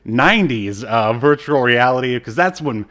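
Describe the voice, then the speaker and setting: Man, podcast co-host, mid-pitched voice, casual banter, joking, mock-serious delivery. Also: expressive, moderate pace, a bit bright.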